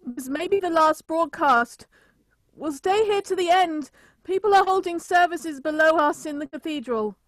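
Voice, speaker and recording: A woman playing a grave, solemn radio reporter giving a deliberate eyewitness account in a radio drama. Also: very high voice, dry recording. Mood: grave, resigned. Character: grave radio reporter narrating a city's destruction from a rooftop. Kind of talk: radio drama acting, live news report.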